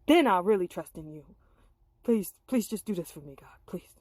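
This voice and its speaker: A woman's voice: on the low side, expressive, moderate pace, a little mellow, dry recording, studio quality. A woman voicing Gideon, an anxious, reluctant male warrior, in an earnest, pleading storybook manner. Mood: pleading, scared.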